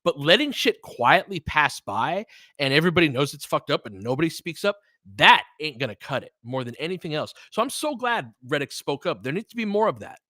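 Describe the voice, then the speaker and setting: A man's voice: fairly high pitch, big pitch swings, brisk, bright, forceful tone, dry recording, clean studio sound. An American man hosting a casual, unscripted sports livestream, speaking in a candid, opinionated and emphatic conversational way. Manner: candid and opinionated, swears freely. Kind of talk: casual, unscripted sports commentary chatting with listeners.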